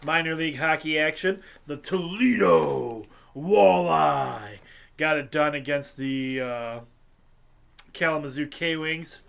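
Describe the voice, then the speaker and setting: A man's voice: a little high, fairly bright tone. Congested man reading sports scores. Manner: halting, filler-heavy delivery with light jokes. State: congested, sinusy. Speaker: sports show host. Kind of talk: casual scores recap.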